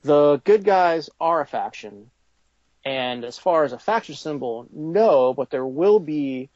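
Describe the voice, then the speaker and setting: A man's voice: on the high side, expressive, a little slow, a little mellow, no room sound, lo-fi sound. A man being interviewed about his toy company, talking in a casual, conversational way.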